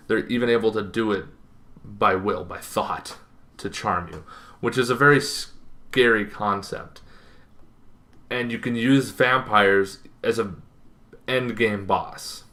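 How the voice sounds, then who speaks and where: A young man hosting an informal explainer to camera, speaking emphatically with halting, dramatic pauses. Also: fairly low pitch, sharp tone. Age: young adult. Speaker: host of a tabletop gaming series. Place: bedroom, indoors.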